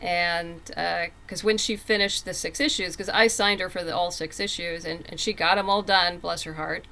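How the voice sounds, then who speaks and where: Woman podcast guest chatting, kinda low pitch but bright punchy voice, repeats herself a bit. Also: fairly even intonation, moderate pace. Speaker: guest comic book writer. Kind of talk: casual podcast interview conversation.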